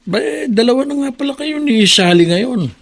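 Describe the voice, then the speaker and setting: A man's voice: extremely high pitch, animated, moderate pace, heavily acted. An actor playing a kind, superstitious elderly grandfather who frets about his daughter. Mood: worried. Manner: gentle, worried, folksy. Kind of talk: radio drama acting.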